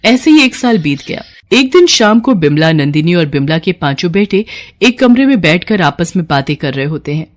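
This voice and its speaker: A woman's voice: on the low side, sing-song, normal speed. A woman delivering scripted narration for a moral tale as the storyteller.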